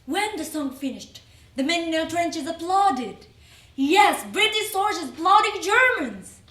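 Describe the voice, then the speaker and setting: Slow, high-voiced woman reciting, echoey. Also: expressive, a bit bright. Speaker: reader in a readers theater performance. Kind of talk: dramatic recited reading of a wartime letter. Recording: echoey room.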